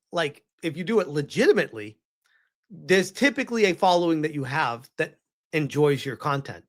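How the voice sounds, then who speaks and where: A man's voice: fairly high pitch, fairly bright tone. A man doing casual live reaction commentary in an incredulous, mocking and emphatic way.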